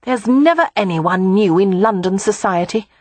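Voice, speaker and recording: A woman's voice: mid-range voice, moderate pace, no room sound, voice pushed up, lightly acted. A woman voicing a playful, wry young woman. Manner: wry, lively.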